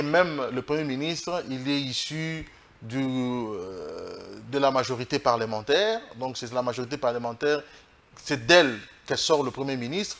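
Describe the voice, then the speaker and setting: A man with a Congolese French accent, speaking as a political guest in an interview, arguing emphatically and haltingly, often repeating his phrases. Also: medium pitch, fairly bright tone. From Democratic Republic of the Congo. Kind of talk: political interview, spoken argument.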